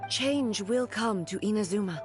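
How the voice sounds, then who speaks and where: A woman's voice: mid-range voice, loud music behind the voice, lightly acted. A woman as a solemn, regal warrior goddess. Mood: reflective. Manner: formal, measured, regal speech. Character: solemn divine female ruler, a warrior goddess. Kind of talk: video game voice acting.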